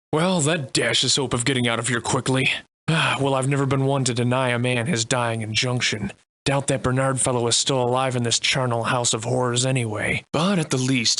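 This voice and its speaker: Man voicing a bright, sardonic old-fashioned gentleman adventurer. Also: mid-range voice. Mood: appalled, grim. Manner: florid archaic diction, theatrical and wry. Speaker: voice actor. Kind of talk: theatrical video game character acting.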